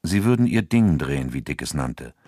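A man narrating a radio crime drama from a script in a measured, sober, factual way. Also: very deep voice, sing-song, dark tone, no echo, studio quality. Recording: faint music behind.

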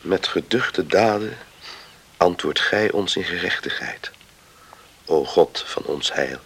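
An actor playing a grave, plain-spoken island man in a radio drama, with a gravelly voice and a solemn, measured manner. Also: low voice, lively intonation, a bit bright, heavily acted. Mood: solemn reading.

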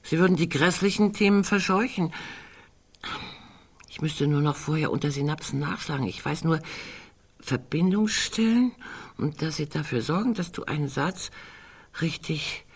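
A woman's voice: deep voice, expressive, moderate pace, long pauses, slightly rough, full character voice. An actress playing a wry, musing, fussy older woman in a literary radio drama, digressing as she talks. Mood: musing, fretful.

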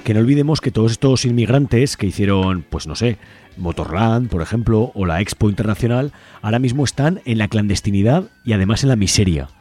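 A man's voice: fairly low pitch, fairly quick, dark tone, soft background music. A man with an accent from Spain presenting an informal activist radio show in a conversational, politically engaged way. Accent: Spanish from Spain. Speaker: radio host.

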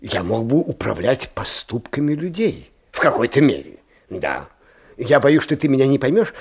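An actor playing an eccentric old schoolteacher-inventor in a radio play, agitated and dreamy, muttering haltingly and repeating himself between exclamations. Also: male voice, fairly high pitch, a little mellow, breathy edge. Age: older. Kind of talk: theatrical radio play acting.

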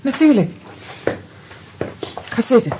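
An actress playing a guarded, bitter ex-wife in a radio drama, speaking in a cool, pointed, sardonic way. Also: female voice, fairly high pitch, big pitch swings, slow, noisy background. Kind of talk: Dutch radio play dialogue.

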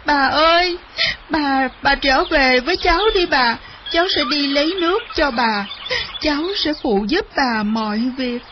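Woman acting a pleading, high-voiced little boy. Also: lively intonation, slow, muffled recording, noisy background. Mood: earnest pleading. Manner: pleading, tearful. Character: remorseful young boy pleading with his grandmother.

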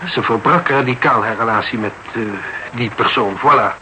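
A man's voice: mid-range voice, bright, forceful tone. A man acting in a radio play as a proud, embarrassed husband, guarded and curt, easily turning indignant. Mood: embarrassed, reluctant. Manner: guarded, halting, curt, indignant when pressed. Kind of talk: Dutch radio drama acting, dialogue. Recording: noisy old radio broadcast recording.

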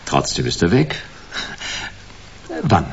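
Deep, gravelly wry private detective. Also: male voice, wildly swooping pitch, slow pace, highs cut off, full character voice. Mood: wry questioning. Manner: dry, ironic, clipped phrasing. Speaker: detective and narrator. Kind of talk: radio play, first-person noir narration.

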